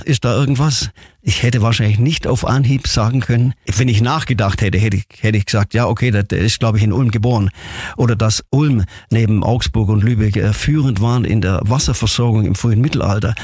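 A man from southern Germany speaking as an interview guest, a city tour guide telling stories in a halting, earnest way. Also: medium pitch, a little mellow, slightly rough, dry recording, studio quality. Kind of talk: casual interview storytelling.

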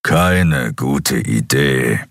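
A man's voice: rumbling low, lively intonation, extremely slow, a bit bright, no room sound, clean studio sound, pitched below the performer's own voice, heavily acted. A man growling as a surly fanged beast-giant. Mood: grumbling. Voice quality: growling, gruff.

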